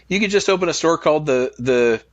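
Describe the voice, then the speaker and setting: A man's voice: a little high, expressive, brisk, dry recording, muffled recording. A man in casual, profanity-laced podcast conversation, speaking as a host.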